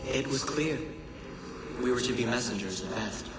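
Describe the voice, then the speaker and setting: Man acting a solemn ancient messenger, echoing. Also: close to an everyday voice. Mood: grave. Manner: grave, cryptic, measured oration. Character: solemn male messenger of an ancient lost civilization. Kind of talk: dramatic scripted game monologue. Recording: echoey voice over loud ambient rumble.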